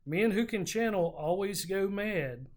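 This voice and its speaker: A co-host casually recapping and discussing a book, telling the story in an informal, slightly halting way. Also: mid-range voice, lively intonation. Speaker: podcast co-host.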